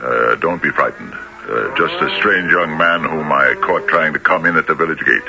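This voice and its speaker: An actor playing a boastful, officious village hunter in a radio drama, huffing and suspicious, then commanding. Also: male voice, rumbling low, moderate pace, music in the background. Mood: amused, blustering. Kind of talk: 1970s radio drama acting. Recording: muffled, noisy old radio broadcast.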